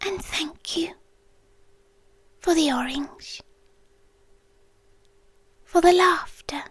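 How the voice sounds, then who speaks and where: A British woman playing an ancient, lovelorn world-ending being in an ASMR roleplay, speaking in a soft, breathy, intimate whisper. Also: very high voice, dry recording, full character voice. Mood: mournful farewell. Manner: slow, hushed, intimate, with pauses. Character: ancient female apocalypse entity, lovelorn, tender and melancholy. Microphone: close microphone in a dry space.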